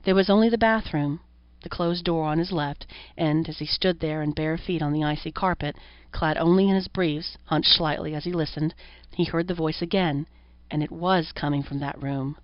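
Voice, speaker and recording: A woman's voice: low-pitched, moderate pace. A woman reading a horror story as an audiobook narrator, in scripted narration. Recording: roomy echo, dull lo-fi sound.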